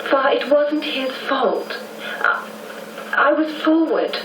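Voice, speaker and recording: A young gentlewoman in a period radio drama, a devoted and contrite daughter. Her voice sits slightly high. The recording echoes and carries clear background noise. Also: expressive, takes it slowly. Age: young adult. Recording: roomy, noisy radio broadcast copy.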